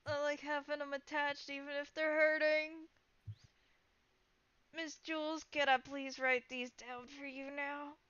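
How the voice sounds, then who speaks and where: A woman voicing a cornered male informant in a tabletop game, evasive and halting, eager to placate. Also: high-pitched, level tone, bright, forceful tone, no room sound, dull audio, pitched above the performer's own voice. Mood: pleading.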